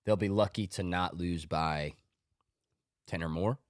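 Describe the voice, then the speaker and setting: Low-voiced congested podcast host. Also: male voice, unhurried, no room sound, studio quality. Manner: offhand, joking, talks through his picks. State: congested from a cold. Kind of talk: casual sports podcast monologue.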